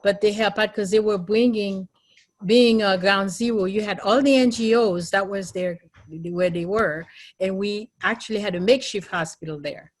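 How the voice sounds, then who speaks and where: A middle-aged Haitian woman who is a nurse and educator, telling her story in an earnest, thoughtful way with pauses and fillers. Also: mid-range voice. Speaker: nurse and nursing educator, interviewee. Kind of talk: recorded interview, personal recollection.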